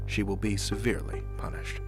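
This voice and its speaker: A man's voice: lowish voice, level tone, brisk, music in the background. A man hosting a podcast, giving a scripted, dramatic introduction. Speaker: podcast host.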